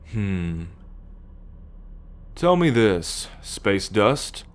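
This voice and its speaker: Casting: male actor as proud, possessive alien starship captain, fairly deep voice, formal lecturing delivery, ASMR audio drama. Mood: teasing, smug. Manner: measured, imperious, formal lecturing. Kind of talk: ASMR roleplay addressed to the listener.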